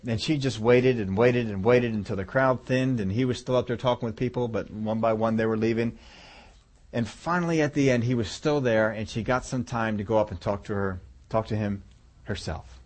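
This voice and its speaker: A man preaching through a long story in a relaxed, folksy way. He chuckles often, and his voice sinks low and soft to land the key lines. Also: normal speed. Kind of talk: storytelling sermon.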